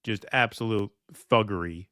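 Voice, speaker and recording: A man's voice: on the low side, a little slow, sharp tone, no echo, studio quality. A man hosting a political livestream, reading news articles aloud with casual asides, many fillers and indignant remarks. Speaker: political commentary host.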